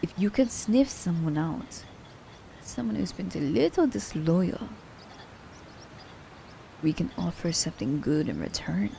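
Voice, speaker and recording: A woman playing a cunning, haughty immortal assassin in an ASMR audio drama, speaking in theatrical, formal diction, breathy and often dropping toward a whisper. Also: on the low side, expressive, unhurried, long pauses, dark tone, slight background noise, rough recording. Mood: sly, musing. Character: immortal female assassin, cunning, teasing, haughty, romancing her captive.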